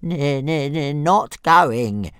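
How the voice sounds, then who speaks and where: A man's voice: expressive, drawn-out words, a bit bright, clear, resonant tone, no echo, studio quality, heavily acted. A man voicing a small, stubborn young dragon who stutters badly and sulks. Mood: frightened stubborn. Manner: stuttering, sulky, squeaky.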